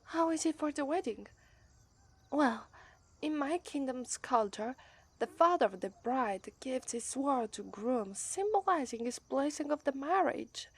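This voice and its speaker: A woman with an Italian accent voicing a shy, affectionate young demon princess bride in an ASMR roleplay. She speaks gently and softly, often drops to a whisper, and falters and restarts when she is nervous. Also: high voice, animated, a little slow, husky, heavily acted. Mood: wistful. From Italy. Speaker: voice actress.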